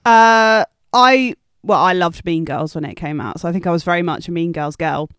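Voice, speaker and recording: A woman's voice: on the low side, normal speed, fairly bright tone, clean tone, no echo, clean studio sound. A woman in her thirties co-hosting a podcast, talking casually about film. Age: 30s. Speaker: podcast co-host.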